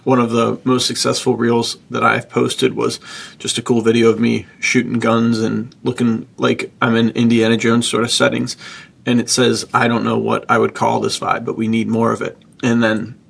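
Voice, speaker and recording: A man with a husky, gravelly voice, hosting a casual podcast discussion in an encouraging, opinionated manner. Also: medium pitch, completely flat delivery, moderate pace. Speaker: podcast host.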